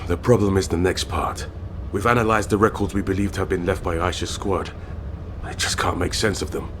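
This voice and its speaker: A man playing a gruff, stern military operative in a sci-fi video game, with a deadpan, clipped delivery. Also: deep voice, fairly quick, background noise, close to an everyday voice. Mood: businesslike.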